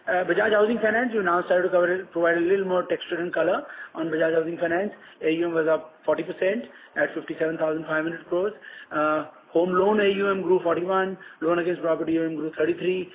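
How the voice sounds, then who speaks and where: A man with an Indian accent presenting company results on an earnings call, businesslike and halting, with frequent ums and repeated words. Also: on the high side. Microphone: conference call phone. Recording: tinny phone conference line with noise.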